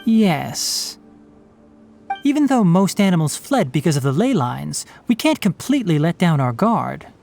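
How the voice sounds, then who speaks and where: An actor playing a calm, scholarly young male forest ranger in a video game, speaking in a measured, gently lecturing way. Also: on the high side, creaky, faint music behind, heavily acted.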